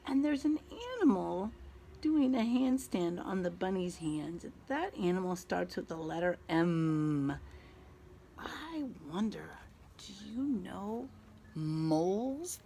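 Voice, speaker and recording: A woman teacher doing an interactive read-aloud for children outdoors, expressive, asking questions and pausing for answers. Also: mid-range voice, vocal fry. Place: outdoors in a back garden. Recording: faint outdoor background noise.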